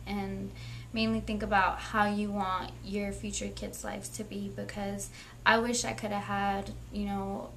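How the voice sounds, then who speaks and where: A woman's voice: medium pitch, little pitch movement, a little slow, slight background noise, low-quality recording. A 21-year-old woman speaking earnestly and plainly as a young mother sharing her story. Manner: earnest, plain-spoken, reflective. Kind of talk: candid personal testimony.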